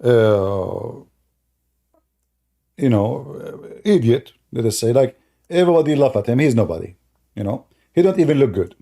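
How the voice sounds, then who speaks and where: Mellow-voiced man telling a mocking story. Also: medium pitch, expressive, measured pace, gravelly, pauses often. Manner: mocking, repeats phrases for emphasis. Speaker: online commentator and host. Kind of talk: informal mocking storytelling commentary to viewers.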